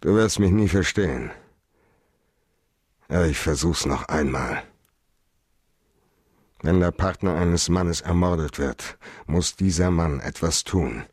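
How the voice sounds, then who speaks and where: Low-voiced, cynical private detective. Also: male voice, slow pace, long pauses, darkish tone, dry recording, clean studio sound. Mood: hard, bitter. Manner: terse, interrogating, sardonic. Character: hard-boiled male private detective, cynical, unbending, coolly accusing. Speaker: actor in radio play. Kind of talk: 1990s German radio crime drama acting.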